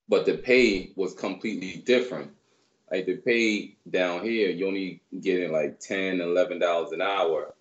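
A man in his thirties from Barbados, raised in New York, telling his story as a guest in a relaxed, rambling way on a video call. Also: deep voice, unhurried, dull audio. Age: 30s. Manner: relaxed, rambling, many fillers. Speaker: guest, personal trainer. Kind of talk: casual storytelling interview. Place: small room indoors. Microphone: video call webcam.

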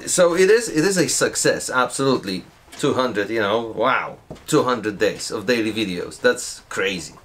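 A man's voice: mid-range voice, lively intonation, moderate pace, hardly any pauses, a bit bright. A man in his forties chatting casually to camera for a daily vlog, explaining his project as he goes, often restarting sentences. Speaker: vlogger. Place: indoors, garage workshop and stores.

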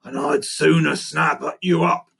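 A menacing storybook reptile, put on by a reader, speaking very slowly. The threats are drawn out, disdainful and emphatic. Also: medium pitch, steady tone, vocal fry, fairly bright tone, breathy edge, pitched above the performer's own voice, heavily acted. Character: slow, menacing, disdainful python and crocodile.

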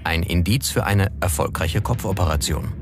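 A man's voice: sits low, normal speed. A man reading scripted documentary narration. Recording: music bed under the voice. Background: background music.

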